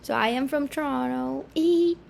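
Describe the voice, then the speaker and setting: A young woman in her twenties, from the Philippines, rambles to camera about her life. She is self-mocking and easily sidetracked, with a gravelly fry in her voice. A faint hum of room noise sits behind her. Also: high voice, moderate pace, darkish tone. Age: 20s. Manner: chatty, distractible, self-mocking, filler-heavy. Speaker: vlogger. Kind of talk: casual rambling chat to camera.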